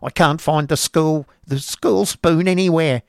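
A man voicing a sharp-tongued, stingy woman who scolds bluntly, in an audiobook reading. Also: fairly high pitch, a little slow, creaky, smooth, no echo, clean studio sound, voice pushed up. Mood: scolding. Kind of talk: audiobook character voice.

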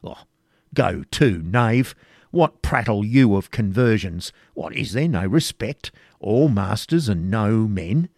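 A burly medieval friar from a storybook, acted out by a male narrator, blustering and spoiling for a fight. His speech is archaic and bombastic, in a fairly low voice recorded close and dry. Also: lively intonation, slow, a little mellow, no echo, exaggerated voice. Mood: indignant.

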